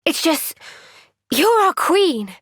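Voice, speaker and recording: A woman with a British accent voicing an earnest, gentle, thoughtful young woman soldier in a video game cutscene. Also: very high voice, sing-song, slow pace, no echo, studio quality, full character voice. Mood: earnest, pleading.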